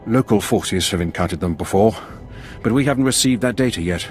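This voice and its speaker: An actor playing a dutiful, seasoned Imperial colonel in a video game, giving formal, brisk military briefings. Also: male voice, on the low side, a little mellow, natural voice. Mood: businesslike. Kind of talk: dramatic video game acting. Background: background music.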